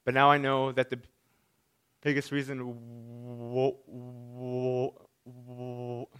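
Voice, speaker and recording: A man's voice: medium pitch, monotone, very slow, fairly bright tone, clean tone. A man who stutters gives a personal talk to an audience, often repeating sounds and restarting his words. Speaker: speaker giving a stage talk.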